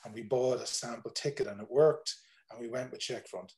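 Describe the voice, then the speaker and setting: A man's voice: medium pitch, brisk, a little mellow. A man in his forties with an Irish accent, a tour operator talking casually on a video call, rambling with many fillers and restarts. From Ireland. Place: home room, indoors.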